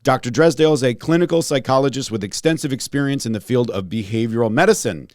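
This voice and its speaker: A man hosting a podcast in a casual, jokey, self-deprecating way, his voice slightly raspy and congested. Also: medium pitch, no room sound, clean studio sound. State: congested from a respiratory illness. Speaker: podcast host, holistic pharmacist.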